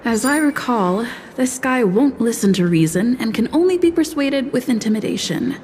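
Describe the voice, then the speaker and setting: A woman's voice: a little high, lively intonation, normal speed, a little mellow, slight background noise, lightly acted. A woman voicing a cool-headed, cheeky young negotiator in a video game, composed, confident and persuasive. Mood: calculating.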